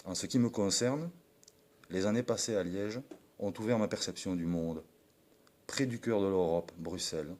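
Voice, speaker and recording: A man with a very low voice from France delivers a formal political address. He reads in an earnest, declamatory way and now and then stumbles and corrects a word. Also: moderate pace, a little mellow. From France (Perpignan). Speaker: political supporter.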